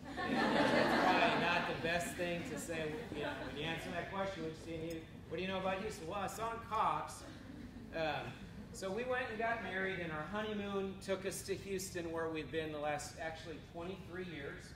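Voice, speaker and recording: A man in his forties from North Dakota, telling his career story to a room with dry humor. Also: brisk. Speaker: human resources administrator. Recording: roomy echo from far off, rumble.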